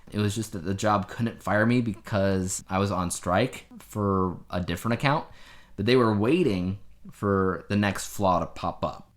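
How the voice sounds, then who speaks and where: A man co-hosting a casual podcast, talking in a rambling, anecdotal way. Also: fairly low pitch. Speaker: podcast co-host. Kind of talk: casual conversational podcast chat.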